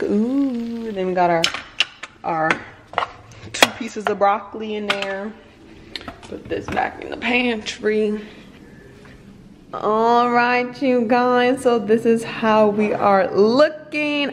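Young woman vlogger, casual cooking chat to the camera, roomy kitchen with sizzling pans. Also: mid-range voice. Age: 20s. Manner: chatty, playful, talks to viewers while cooking. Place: home kitchen, indoors. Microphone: camera on the counter. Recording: echoey kitchen with frying noise.

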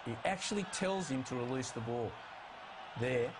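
Male studio analyst, middle pitch, emphatic and pointed, some background noise. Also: expressive, moderate pace. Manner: emphatic, rises in pitch to stress key calls. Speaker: rugby analyst.

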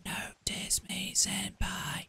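A man's voice: drawn-out words, pushed and bright, full character voice. A man voicing a coy, pleading anime-style admirer in a whispery voice.

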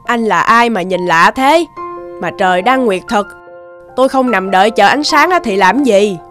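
A woman's voice: mid-range voice, normal speed, fairly bright tone, natural voice. Woman as an aggrieved cartoon buffalo over music. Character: hardworking, aggrieved female water buffalo. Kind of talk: animated fairy-tale acting.

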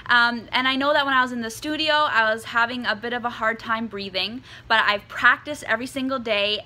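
A woman in her twenties with a bright, fairly high voice, talking straight to camera about singing. Her voice is a little croaky today, and she speaks in an earnest, chatty way, filling pauses with "um". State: slightly croaky voice. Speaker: singer and vlog host. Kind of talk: casual advice talk to camera. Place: indoors in front of a dark curtain.

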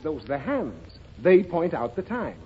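A man's voice: fairly high pitch, big pitch swings, unhurried. A man playing an exasperated, easily flustered father in an old radio comedy, explaining things fussily. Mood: patient, then exasperated. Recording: muffled, very noisy old radio recording.

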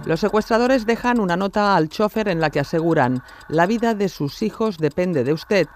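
A man's voice: sits high, animated, moderate pace. A man narrating a scripted true-crime documentary.

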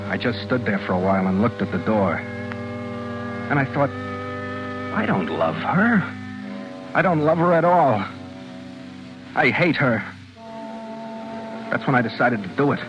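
An obsessive, bitter boyfriend in vintage radio drama style. His voice is mid-pitched, intense and emotional, able to coax or bully. The recording is a dull 1940s broadcast. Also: sing-song, measured pace, pauses often, music in the background. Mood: brooding confession. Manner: intense, emotional, shifts from soft coaxing to bullying. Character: bitter, obsessive jilted boyfriend, wheedling then menacing.

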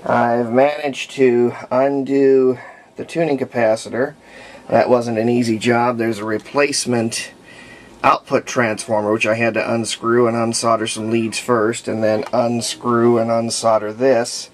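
An American man in his fifties narrates hands-on repair work unscripted, thinking aloud in a halting way as he goes. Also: medium pitch, little pitch movement. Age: 50s. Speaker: hobbyist radio restorer. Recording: clear background noise.

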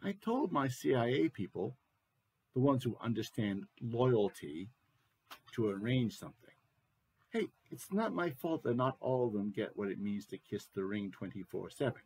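A man's voice: mid-range voice, big pitch swings, moderate pace, darkish tone, breathy edge, rough recording. A man voicing a crude, boastful president in his sixties, ranting emphatically down the phone in a satirical stage monologue. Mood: bitter boasting. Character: crude, bitter, boastful 68-year-old male American president.

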